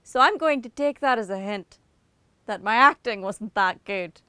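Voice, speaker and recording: A young Irish woman talks straight to camera in a loose, chatty vlog style, poking fun at herself with little laughing asides. Her voice is smooth and clear, in a mid register. The sound is dry and close, with no room around it. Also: mid-range voice, animated, normal speed, bright, forceful tone, dry recording. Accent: Northern Irish. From Ireland. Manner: chatty, self-mocking, giggly asides. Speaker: singer answering autocomplete questions. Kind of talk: casual self-deprecating chat to camera.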